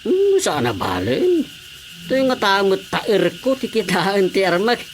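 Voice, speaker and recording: A man's voice: high-pitched, wildly swooping pitch, measured pace, slight background noise, exaggerated voice. A man acting as a cheeky, eager, teasing visiting cousin in a comedy radio drama. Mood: playful.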